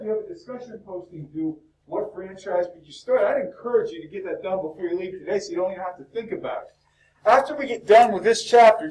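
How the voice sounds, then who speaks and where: A male instructor lecturing a university class in a lively, chatty way, peppering students with questions, his voice cracking. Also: high voice. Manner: talkative, upbeat, fires questions at the class. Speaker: business instructor. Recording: echoey classroom from far off.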